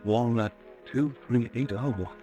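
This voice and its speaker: An actor playing a haunted, nervous businessman who fears himself, in agitated, halting, melodramatic 1940s radio style. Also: mid-range voice, mellow, soft background music, close to an everyday voice. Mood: distraught. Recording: old radio broadcast recording.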